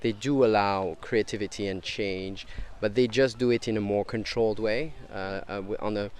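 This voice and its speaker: A man's voice: lowish voice, steady tone, normal speed, a little mellow. A man from Europe answering interview questions, hesitant, with many restarts and fillers.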